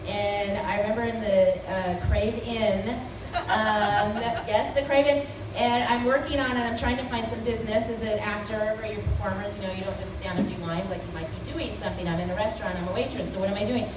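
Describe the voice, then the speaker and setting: A woman actress on a convention panel, telling a story in an animated way and laughing often. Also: mid-range voice, a little fast. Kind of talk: anecdotal panel talk. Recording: echoey hall, distant mic, clear noise.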